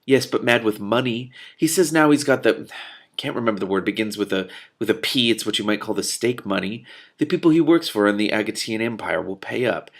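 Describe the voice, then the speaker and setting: A man's voice: lowish voice, fairly quick. A man voicing a nervous fat innkeeper from a storybook, cowed and evasive, stammering and rambling.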